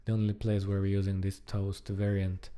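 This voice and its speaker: A man giving casual live-coding commentary, thinking aloud in a halting, muttering way as he works. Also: deep voice, completely flat delivery, dark tone, smooth. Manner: halting, muttering to himself while working. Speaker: programmer live-streaming a coding project.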